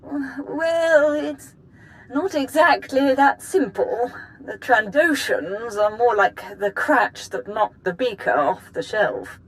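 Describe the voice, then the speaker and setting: An actress playing an earnest, apologetic scientist in a science fiction game, with careful, formal, technical phrasing. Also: female voice, fairly high pitch, lively intonation, slow, poor audio. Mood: uneasy, careful. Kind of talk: video game cutscene acting.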